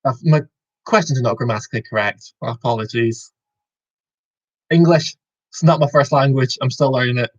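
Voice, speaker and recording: Rambling young Scottish quiz host. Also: male voice, medium pitch, a little fast, pauses often, lo-fi sound. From Scotland. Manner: rambling, self-correcting, jokey. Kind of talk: casual online quiz hosting. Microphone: video call.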